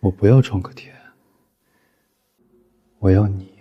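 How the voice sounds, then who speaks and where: An actor playing a cool, domineering young company boss with a deadpan, sarcastic and controlled manner in an animated drama. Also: male voice, fairly low pitch, measured pace, slightly rough, highs cut off. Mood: cool, pressing. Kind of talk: animated short drama dialogue.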